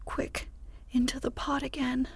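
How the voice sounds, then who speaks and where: A woman voicing the grumpy, fearful wife of a giant, speaking in a glum, breathy whisper. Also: high-pitched, level tone, unhurried, no room sound, pitched above the performer's own voice, heavily acted. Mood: scared. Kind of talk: whispered storybook reading.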